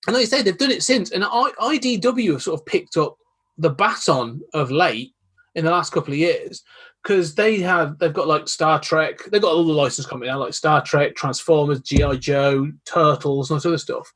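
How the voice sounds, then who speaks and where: Husky-voiced man, eager guest. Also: on the high side, normal speed, fairly bright tone. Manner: rambling, eager, full of restarts. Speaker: podcast guest. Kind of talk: casual podcast chat.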